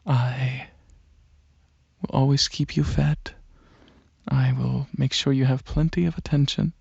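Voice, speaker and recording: A soft, gravelly dragon alien prince played by a man speaks in an ASMR roleplay, slowly and hesitantly. His delivery is gentle and coaxing, with long pauses. The close recording is dry and slightly muffled. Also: mid-range voice, fairly even intonation, no room sound, heavily acted. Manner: hesitant, unhurried, soothing, with frequent pauses. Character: gentle dragon alien prince, centuries-old royal researcher, softly coaxing. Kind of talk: ASMR roleplay, soft-spoken monologue to the listener.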